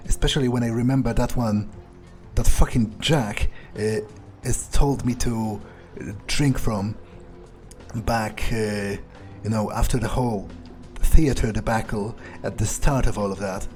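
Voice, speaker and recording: A man playing a smug, cynical vampire in a game roleplay, thinking aloud in a halting, sardonic way. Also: fairly low pitch, a little mellow, natural voice. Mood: disdainful. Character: smug cynical male vampire, talking to himself. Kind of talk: in-character gameplay roleplay, improvised muttering. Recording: game music behind. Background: video game music.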